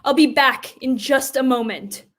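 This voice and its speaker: A woman playing a gentle, remorseful former Death turned ordinary person in a staged reading, earnest and halting. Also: sits high. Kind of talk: theatrical dialogue in a staged reading.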